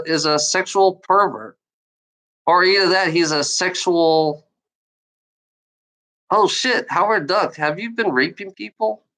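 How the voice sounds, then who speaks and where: A woman's voice: fairly low pitch, fairly bright tone, rough recording. A woman streamer who stutters, ranting at her chat in a rambling, repetitive way with frequent restarts and swearing. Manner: repetitive, restarts phrases, profane. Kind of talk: rambling livestream rant at chat trolls.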